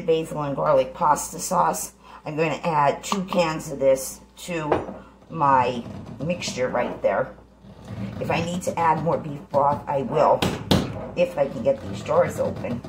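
Low-voiced woman cooking at home. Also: noisy background. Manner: chatty, homey, step-by-step instructions. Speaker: home cook, channel host. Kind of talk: casual cooking demonstration. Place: home kitchen, indoors. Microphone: camera sitting on the counter. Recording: echoey kitchen, faint clatter of pots.

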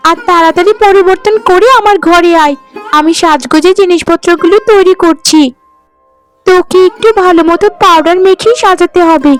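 A woman playing a spiteful, bossy sister-in-law in a cartoon, nagging with a scornful, sharp tongue. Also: very high voice, poor audio. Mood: mocking, bossy. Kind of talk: Bengali cartoon voice acting.

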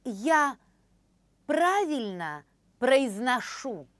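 A woman in her thirties demonstrating phrases for a language course, repeating each one slowly and carefully in a studio. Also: high voice, sing-song, fairly bright tone, no room sound. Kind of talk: slow, clear phrase demonstration. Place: studio with orange backdrop.

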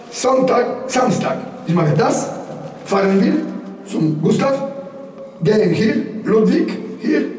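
A man's voice: high-pitched, a little mellow. A man with a Polish accent guiding an informal tour in jovial, broken German with short repeated phrases. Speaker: tour guide. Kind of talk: informal guided tour chat. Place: underground concrete bunker tunnels. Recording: echoey tunnel, distant mic, faint noise.

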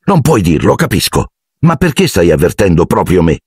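An earnest young boy wizard played by a man narrating a storybook, a little lighter than the reader's deep voice. He sounds bewildered and insistent, with lively pitch and a slightly husky grain in a dry recording. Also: normal speed, no echo, exaggerated voice. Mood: urgent.

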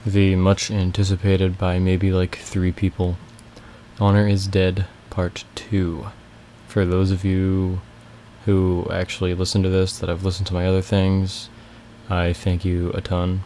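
Deep, flat raspy male narrator. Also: slight background noise. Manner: slow, casual intro then steady reading. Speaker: amateur audiobook reader. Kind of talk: audiobook narration. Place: his bedroom.

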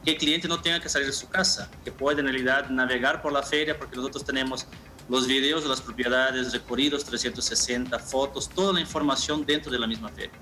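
A man in his thirties answering interview questions informally, a little haltingly and with repeated phrases, from a room over a video call. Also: mid-range voice, steady tone, bright, forceful tone, low-quality recording. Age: 30s. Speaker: marketing manager, interview guest.

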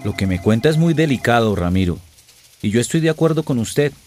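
A man's voice: mid-range voice, animated, a little fast, darkish tone, soft background music, close to an everyday voice. A Colombian man playing a stern, authoritative school principal in a radio soap. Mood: grave, firm.

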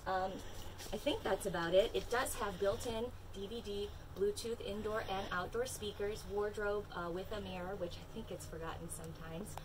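A woman in her thirties giving an upbeat, conversational walk-through sales presentation. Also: mid-range voice. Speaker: RV dealership salesperson presenting a video tour. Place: outdoors on RV lot, then inside small trailer. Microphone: phone camera a few steps away. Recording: distant mic, clear ambient noise.

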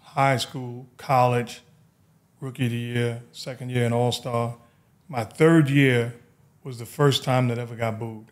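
A middle-aged man with a New York accent, hosting a casual podcast chat and telling stories in a relaxed, reflective way from a high-rise studio on a boom mic. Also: a little slow. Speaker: podcast host. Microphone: studio mic on boom arm.

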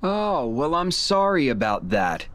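A man playing a cold, smug young villain in a video game, mocking and deliberate in his menace. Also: a little high, sing-song, a bit bright, exaggerated voice. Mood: smug mockery.

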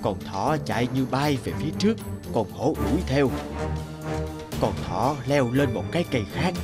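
A man playing a wise, patient elderly father in a cartoon, measured and instructive as he gives advice. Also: mid-range voice, lively intonation, lightly acted. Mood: storytelling. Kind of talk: cartoon dialogue and storytelling. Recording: background music under speech.

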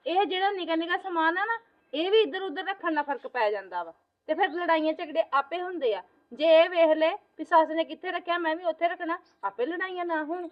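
Advising sister-in-law played by a woman, high voice, muffled lo-fi. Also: a little fast. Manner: advising, explaining patiently. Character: sensible elder sister-in-law, young married woman.

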